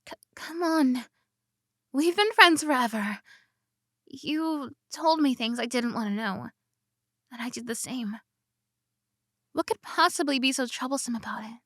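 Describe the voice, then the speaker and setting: A proud, easily flustered young princess voiced by a woman who stammers through her words. Her voice sits high and her pace is slow, and she drops into hushed whispers between sharp protests. The sound is close and dry, like an intimate audio drama. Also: no echo, exaggerated voice. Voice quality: stammering, flustered, shifts between soft whispers and indignant outbursts. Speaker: ASMR roleplay performer. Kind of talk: ASMR roleplay acting.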